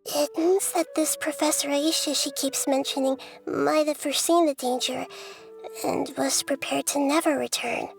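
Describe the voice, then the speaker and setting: A woman voicing a small, gentle, thoughtful childlike deity of wisdom in a video game story. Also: high-pitched, steady tone, normal speed, gravelly, soft background music. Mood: thoughtful, troubled.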